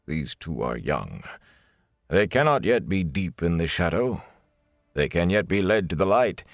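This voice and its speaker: Guy doing a calm old military commander for a fantasy audiobook, formal, sadly stern, low voice, super dry recording. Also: no echo. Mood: calm, patient. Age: older. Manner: measured, formal, gently admonishing. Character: grandfatherly gray-haired male lord captain, calm and sadly stern.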